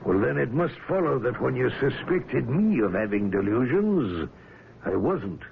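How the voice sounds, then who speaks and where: A man's voice: medium pitch, sing-song, a little slow, creaky. A man acting an obsessed, lovelorn ship's captain in an old-time radio drama, with a stern, theatrical intensity. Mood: stern, suspicious. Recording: muffled, hissy old radio broadcast recording.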